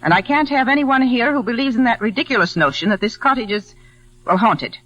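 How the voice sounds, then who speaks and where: An actress playing a stern, lonely older widow in a 1940s radio drama, clipped and brooding in delivery. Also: female voice, a little high, expressive, fairly quick, a bit bright. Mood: stern, guarded. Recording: muffled vintage radio recording.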